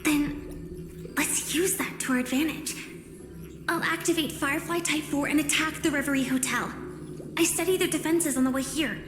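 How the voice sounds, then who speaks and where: An actress plays a young woman fighter from an anime game, earnest and quietly determined. Her voice is high and soft. It rings with long, echoing reverb over a music bed. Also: lightly acted. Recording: echoey reverberant hall, music behind.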